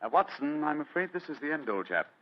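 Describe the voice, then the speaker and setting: An actor playing an aging, cool, quick-witted English detective with a clipped, dry manner, in a radio mystery. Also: male voice, a little high, sing-song. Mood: feigned resignation. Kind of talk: 1940s radio mystery acting. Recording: dull, muffled old radio recording.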